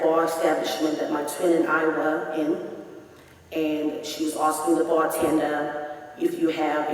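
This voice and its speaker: A woman's voice: low voice, a little mellow. A woman in her forties speaks earnestly and emphatically, telling her own story to a meeting. Her voice rings around a large, echoing hall. She sounds set back from the microphone. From South Africa. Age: 40s. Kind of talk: personal testimony. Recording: echoey hall, voice from far off.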